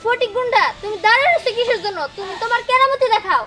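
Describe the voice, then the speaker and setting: A woman's voice: very high-pitched, very fast. A woman voicing a bossy, teasing young girl in a cartoon. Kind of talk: cartoon voice acting. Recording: music behind the voice.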